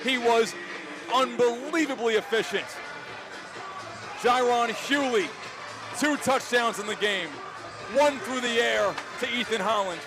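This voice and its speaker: High-pitched, emphatic male play-by-play announcer. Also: unhurried, long pauses, over music, low-quality recording. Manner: emphatic, dramatic phrasing. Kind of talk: excited live football commentary.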